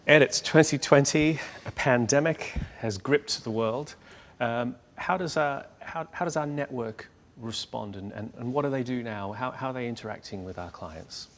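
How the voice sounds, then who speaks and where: A man's voice: medium pitch, moderate pace, big-room sound. A man hosting a company video, asking a guest questions, chatty, with fillers and repeated words. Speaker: host. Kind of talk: hosting an interview.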